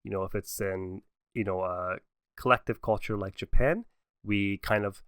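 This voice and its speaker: A young adult man co-hosting a podcast, talking in a relaxed, reflective way and laughing often. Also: on the low side, moderate pace, clean tone, no echo, studio quality. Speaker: podcast co-host. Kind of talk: casual conversation.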